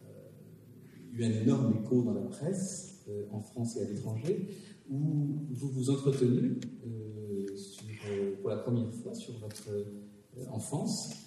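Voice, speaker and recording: A man opens a public talk in a formal tone, stopping often on fillers. His voice is dark and mellow. It was recorded from the audience on cassette and sounds far away in a large hall with a long echo. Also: lowish voice, animated, slow pace, slight background noise. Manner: formal, hesitant, many fillers. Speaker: moderator. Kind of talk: formal introduction and interview at a public event. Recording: distant, echoey hall on cassette.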